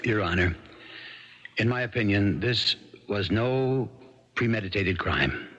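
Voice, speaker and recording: Male, firm but humane lawman, raspy lower register, vintage 1970s radio drama. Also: unhurried, fairly bright tone. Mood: earnest testimony. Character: steady small-town sheriff, firm, lawful, compassionate. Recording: dull 1970s radio broadcast recording.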